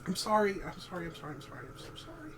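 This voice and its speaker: Nervous, high-voiced young man, very animated. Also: racing. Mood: terrified, pleading. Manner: hesitant, stammering, pleading. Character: nervous, placating young male podcaster, the threatened roommate. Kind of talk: horror audio drama acting. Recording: clearly noisy track with ambience.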